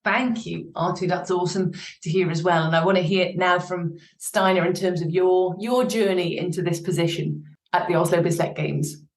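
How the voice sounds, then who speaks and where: A woman's voice: fairly low pitch, steady tone, moderate pace. A woman hosting a podcast interview, speaking in an upbeat, encouraging way to her guests. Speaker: podcast host. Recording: echoey room.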